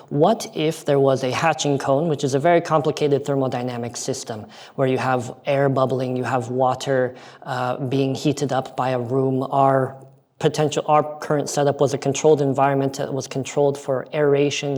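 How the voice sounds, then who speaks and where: American male presenter, mid-pitched, earnest research talk with pauses. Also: darkish tone. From United States. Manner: earnest, measured, with frequent filler pauses. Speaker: conference presenter. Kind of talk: scientific conference talk. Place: conference hall.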